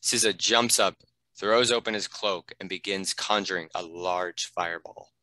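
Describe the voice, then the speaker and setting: Deep, bright-voiced male narrator. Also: normal speed, dry recording. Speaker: narrator reading stage directions. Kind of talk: scripted narration.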